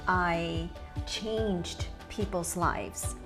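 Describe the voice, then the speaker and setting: A woman's voice: fairly low pitch, extremely slow. A woman from the United States hosting an upbeat home organizing show in a chatty, encouraging, informal way. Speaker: professional organizer and show host. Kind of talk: upbeat lifestyle show presenting. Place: home kitchen, indoors. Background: background music.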